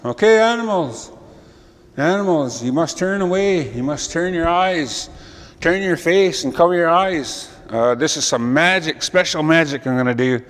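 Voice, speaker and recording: A man's voice: a little high, expressive, moderate pace, in a large hall, pitched above the performer's own voice, lightly acted. A man voicing a kindly male creator spirit who speaks reassuringly to the animals in a told folk story. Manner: gentle, reassuring, commanding.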